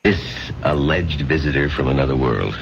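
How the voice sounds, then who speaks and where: An actor playing a grave, persistent psychiatrist in an old-time radio drama, formal and authoritative. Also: male voice, a bass voice, lively intonation, normal speed, vocal fry, full character voice. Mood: insistent. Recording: muffled, noisy old radio drama recording.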